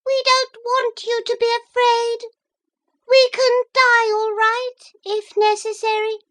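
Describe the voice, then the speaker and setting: A woman voicing a chorus of tiny, frightened but brave mussels in a storybook reading, with thin, sharp voices. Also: flat delivery, slow, exaggerated voice. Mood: shrill pleading. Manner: shrill, piping.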